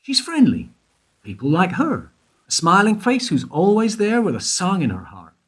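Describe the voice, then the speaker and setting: Radio drama: smug, probing male interrogator, acted, swooping high-pitched delivery, insinuating. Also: huge pitch swings, fairly bright tone, husky, full character voice. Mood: smug, suspicious. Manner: insinuating, leading questions with pointed pauses. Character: probing, self-satisfied male investigator questioning a suspect. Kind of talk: radio play dialogue.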